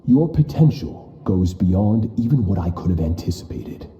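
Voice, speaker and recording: An actor playing a stern, merciless older sword master in a game cutscene, speaking in a cold, measured, menacing way. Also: male voice, low-pitched, sing-song, warm tone, reverberant. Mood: ruthless. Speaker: game character. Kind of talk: video game cutscene voice acting.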